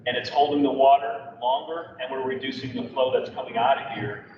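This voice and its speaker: A man's voice: medium pitch, fairly even intonation, brisk. A man presenting engineering plans at a public meeting, explaining step by step. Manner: plain, methodical explaining. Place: large meeting room. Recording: echoey hall, far from mic, dull, background noise.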